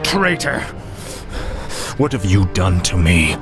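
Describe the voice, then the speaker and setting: An actor playing a grim, commanding dark warrior king in a fantasy video game, stern and brooding. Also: low-pitched, very animated, slow pace, music in the background, full character voice. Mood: alarmed, angry.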